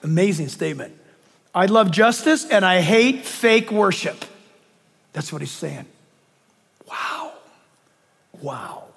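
Man, middle-aged, pastor, preaching, dynamic delivery, hushed to emphatic, long hall reverb. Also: sits high, animated, slow pace, gravelly, long pauses, breathy edge. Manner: dynamic, swings from hushed asides to loud emphatic reading. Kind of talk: preaching a sermon with scripture reading.

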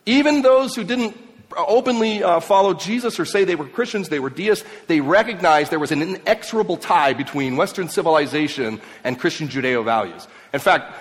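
A man raised in Mexico and Ecuador, giving an emphatic speech to an audience, swinging between loud and quiet. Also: fairly high pitch, expressive, a little fast. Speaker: speaker. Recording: long hall reverb.

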